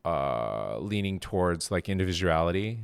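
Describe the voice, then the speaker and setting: A man's voice: low-pitched, big pitch swings, normal speed, vocal fry, smooth. A middle-aged man from Japan, a game studio co-founder, chatting in an interview with many fillers and restarts. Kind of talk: casual interview conversation.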